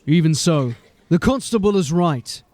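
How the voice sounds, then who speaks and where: A man playing a stern, hard-bitten, commanding police sergeant in a dark fantasy audio drama. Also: fairly high pitch, animated, unhurried, dry recording. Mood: resolute. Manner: curt, commanding.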